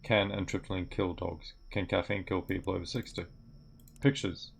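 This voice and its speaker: Young male gaming creator, low gravelly voice, thinks out loud over faint keyboard clicks. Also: steady tone, moderate pace, slight background noise. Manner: mutters aloud while reading on-screen text. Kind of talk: game commentary while playing. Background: keyboard typing and clicks.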